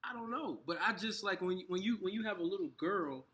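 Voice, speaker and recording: A man co-hosting a casual conversation, talking in halting bursts with frequent restarts. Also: high voice, expressive, crowd noise. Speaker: co-host.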